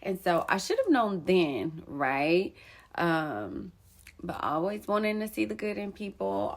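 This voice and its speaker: A young woman telling a personal story in a candid, rambling way, full of "like" and "um". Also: mid-range voice. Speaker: poet and storyteller vlogger. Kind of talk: casual storytelling monologue.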